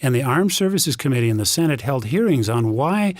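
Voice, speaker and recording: A man's voice: medium pitch, sing-song, brisk, dry recording. A man speaking as a guest on a radio talk show, explaining things earnestly. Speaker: interview guest. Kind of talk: conversational radio interview.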